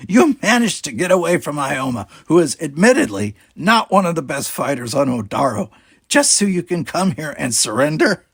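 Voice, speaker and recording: A man's voice: on the high side, sing-song, normal speed, creaky, breathy edge, dry recording, voice pushed up, full character voice. A man voicing a menacing, tusked female alien pirate captain for an audiobook, with a drawling, mocking, commanding manner. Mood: scornful amusement. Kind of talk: audiobook character voice.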